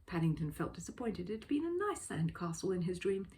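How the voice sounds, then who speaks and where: A woman reading a children's story aloud as an expressive narrator. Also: on the low side, fairly quick. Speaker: storybook reader.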